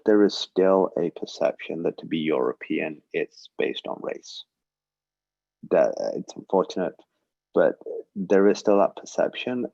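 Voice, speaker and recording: Deep, gravelly man speaking earnestly. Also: a little mellow, dry recording, muffled recording. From Britain. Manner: earnest, reflective, with frequent um and uh. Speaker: guest speaker. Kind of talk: personal testimony talk. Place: synagogue livestream.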